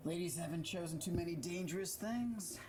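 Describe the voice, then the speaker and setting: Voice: medium pitch, a little fast, close to an everyday voice. An actor playing a condescending, self-assured male county attorney in a stage play. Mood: patronising. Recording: noisy amateur recording.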